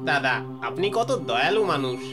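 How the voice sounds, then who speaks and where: A man playing a humble, naive traveller who speaks in an earnest, pleading way in an animated horror story. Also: medium pitch, normal speed, over music, natural voice. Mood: meek, pleading. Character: humble, hungry, naive male wayfarer.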